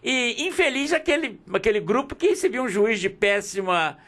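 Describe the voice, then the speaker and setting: A man's voice: extremely high pitch, animated, moderate pace, fairly bright tone. A man in his seventies with a Brazilian accent, hosting a radio interview in a conversational, opinionated way. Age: 70s. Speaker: radio host.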